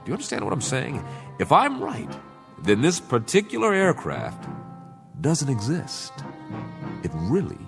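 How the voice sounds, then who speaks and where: A man playing a self-assured aviation investigator in a radio drama, speaking deliberately and theatrically with probing pauses and rhetorical questions. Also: mid-range voice, huge pitch swings, gravelly, fairly bright tone, exaggerated voice. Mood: intense, persuasive. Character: self-assured male aviation investigator chasing an uncanny theory. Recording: music behind the voice.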